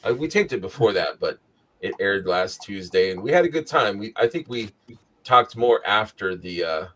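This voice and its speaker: Male live-show host, low-ish voice, hoarse and scratchy, loose rambling delivery. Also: expressive, moderate pace, a bit bright. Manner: rambling, tired but upbeat. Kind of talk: casual live-stream chat.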